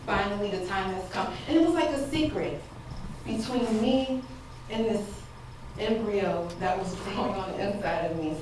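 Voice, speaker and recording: Casting: actress as heartfelt wife on stage, slow dramatic storyteller, distant in a very echoey hall. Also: female voice, mid-range voice, natural voice. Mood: tender, excited. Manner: deliberate, dramatic storytelling with repeated phrases. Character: hopeful, emotional wife recounting her long-awaited first pregnancy. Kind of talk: theatrical stage monologue. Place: stage in a theater. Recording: very echoey stage, distant mic, audible room noise.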